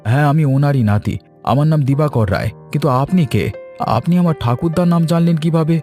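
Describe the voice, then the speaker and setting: A man voicing a cautious, worried young man in an animated horror story, hesitant in manner. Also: a little high, a little fast, faint music behind, lightly acted. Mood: wary. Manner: hesitant, uneasy.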